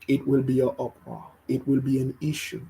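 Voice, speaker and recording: A man delivers an informal online sermon at a mid-range pitch. He presses his points hard, repeats whole phrases for weight, and trips over words before starting again. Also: monotone, moderate pace, dark tone, rough recording. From Haiti. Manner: emphatic, repeats phrases, stumbles and restarts. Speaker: religious teacher.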